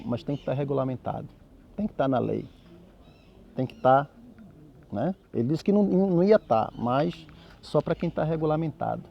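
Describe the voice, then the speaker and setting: A man's voice: a little high, vocal fry, dull audio, slight background noise. A middle-aged Brazilian man with a Brazilian Portuguese accent, a capoeira master giving a reflective, argumentative commentary that keeps seeking agreement. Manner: reflective, argumentative, with frequent "né?" tags. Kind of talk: spoken commentary to camera.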